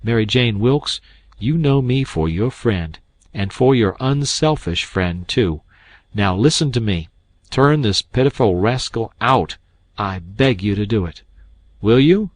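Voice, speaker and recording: A man's voice: on the low side, expressive, unhurried, dry recording. A man doing a stern, scornful old doctor. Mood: urgent. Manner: blunt, scornful, commanding. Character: stern, indignant older male town doctor.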